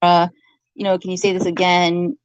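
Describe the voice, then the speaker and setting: A woman arguing informally in an accusatory, halting way, repeating her phrases, on a slightly dull recording. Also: fairly low pitch, completely flat delivery, clear, resonant tone, no echo. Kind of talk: informal argumentative monologue to camera.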